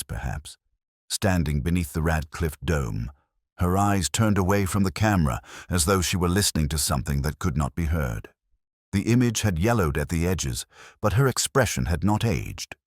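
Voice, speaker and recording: A man playing a weary, melancholy older police inspector, narrating a mystery drama in a measured, wry, literary manner. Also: low voice, slightly rough, dry recording, studio quality, heavily acted. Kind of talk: slow literary first-person mystery narration.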